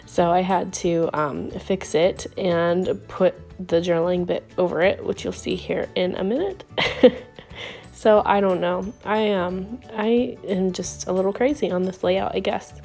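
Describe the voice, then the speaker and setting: A woman hosting a scrapbooking video, talking casually over her crafting with a hoarse voice that is giving out from illness and lots of ums and little laughs. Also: lowish voice, steady tone, unhurried, mellow, soft background music. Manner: rambling, chatty, frequent ums and little laughs.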